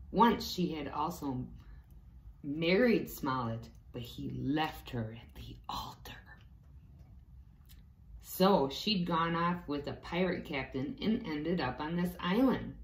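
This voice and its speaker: A woman's voice: low-pitched, expressive, takes it slowly. A woman reading a storybook aloud to young children, expressively, with little explanatory asides. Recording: echoey room with faint background noise.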